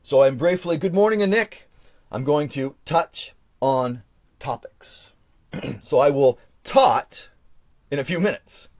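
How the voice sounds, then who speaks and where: A man's voice: mid-range voice, expressive, slow pace, no room sound. A man in his forties chatting casually on a live stream, reading from an old journal and rambling with frequent restarts. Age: 40s.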